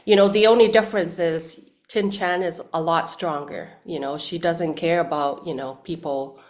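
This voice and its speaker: A woman from Hong Kong, speaking thoughtfully as an interview guest, with many restarts and fillers. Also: low voice. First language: Cantonese. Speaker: actress guest. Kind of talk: casual interview chat.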